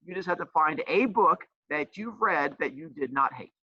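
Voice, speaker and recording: A male teacher walks his class through homework, bouncing all over in pitch with jokes and mock outrage. His voice is bright and pushed forward. The recording is dry and a little dull. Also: expressive, moderate pace, husky, dry recording. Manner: joking, playful teasing; says he has a loud voice. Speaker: teacher and school coach. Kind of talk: explaining a homework assignment.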